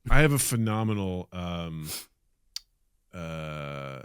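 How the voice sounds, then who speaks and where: A man co-hosting a podcast in casual, animated conversation, speaking bluntly and swearing freely. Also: sits low, slow, clear, resonant tone, no echo, clean studio sound. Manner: blunt and profane. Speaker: podcast co-host.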